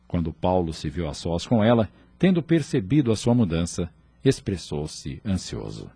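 A man's voice: low-pitched, sing-song, moderate pace, vocal fry, warm tone, husky, no echo. A man with a Brazilian accent reading scripted narration for a radio drama. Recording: music under the voice. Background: prominent music.

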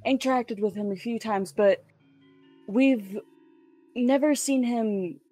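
A woman's voice: on the high side, slow, mellow. A woman playing a tabletop roleplaying game, chatting casually in character over music. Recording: dry close sound with music behind.

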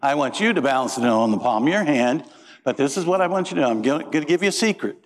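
A man's voice: mid-range voice, lively intonation, quick pace. A middle-aged man from Indiana preaching a sermon as pastor, folksy and joking as he tells stories. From Indiana, USA. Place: church. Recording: reverberant church sanctuary.

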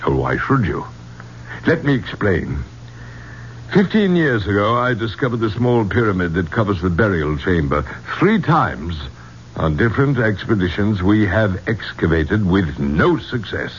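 An actor playing an obsessed, superstitious gentleman archaeologist in a 1970s radio drama, with a grandiloquent, courtly way of speaking. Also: lowish voice, very animated, unhurried. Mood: earnest. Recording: dull, noisy 1970s radio recording.

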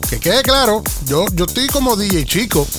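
A man's voice: high voice, big pitch swings, normal speed, music in the background. A man with a Puerto Rican accent hosting live radio talk, rambling in a sing-song way with many restarts. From Puerto Rico. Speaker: radio show host.

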